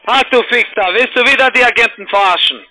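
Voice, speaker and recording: A man's voice: extremely high pitch, fast, bright, forceful tone, rough recording. A man, a call-center agent, arguing angrily and insultingly on the phone. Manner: hostile, insulting. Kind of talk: angry phone argument.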